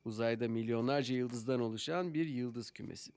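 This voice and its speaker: A man playing a patient, easygoing father in a Turkish cartoon dub, explaining things gently and thoughtfully. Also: mid-range voice, fairly quick, no echo, natural voice. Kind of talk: Turkish animated series dub. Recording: music behind.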